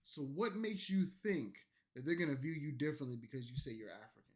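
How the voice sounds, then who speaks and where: A man's voice: on the high side, brisk. Tipsy man ranting on a stream. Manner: loud, profane, rambling rant. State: tipsy from alcohol and energy drink. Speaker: streamer reacting to clips. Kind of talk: drunk late-night ranting stream.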